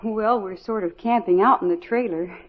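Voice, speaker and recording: An actress playing a sweet, bashful and excitable young farm girl in a 1950s radio musical. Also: mid-range voice, normal speed, highs cut off, lightly acted. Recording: band-limited 1950s radio broadcast, music, crowd.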